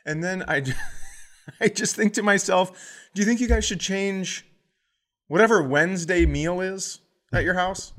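A middle-aged man, a comedian co-hosting a podcast, telling stories in a casual, animated way and acting out the people in them. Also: sits high, measured pace, long pauses. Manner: animated, acts out voices in his stories.

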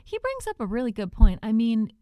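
A woman's voice: fairly high pitch, wildly swooping pitch, a little fast, dark tone, no echo. A woman playing an earnest, easily indignant evangelical church podcast hostess, gushing and emphatic in a folksy way. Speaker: podcast co-host. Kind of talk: improvised satirical church podcast banter.

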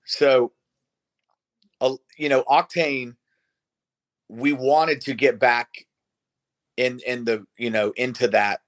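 Casting: male rock musician, around forty, mid-pitched, loose casual interview chat. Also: fairly even intonation, slow pace, pauses often, a bit bright. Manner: informal, swearing. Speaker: rock band singer, interview guest.

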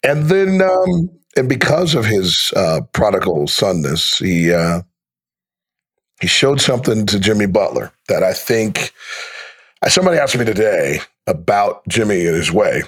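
A man's voice: on the low side, very animated, unhurried, husky. Wry male sports announcer chatting casually. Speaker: basketball radio play-by-play announcer, guest. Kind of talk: casual podcast conversation.

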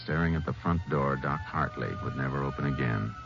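An actor playing a determined, plain-spoken Texas Ranger in 1950s radio drama style, terse, grave and courteous. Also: male voice, extremely low pitch, a little fast, gravelly, over music. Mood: grim resolve. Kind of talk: 1950s radio western acting and narration. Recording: muffled, noisy 1950s radio recording.

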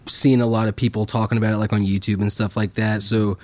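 A man's voice: lowish voice, flat delivery, quick pace, a little mellow. A man in his twenties, a band musician guest, talking in a laid-back way with frequent laughs and fillers. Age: 20s. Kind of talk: casual interview chat.

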